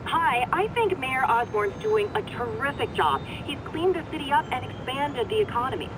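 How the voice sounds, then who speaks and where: A woman's voice: high-pitched, big pitch swings, moderate pace, background noise. An actress playing an upbeat woman calling in to a radio show over a phone line. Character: cheerful woman radio caller. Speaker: radio caller.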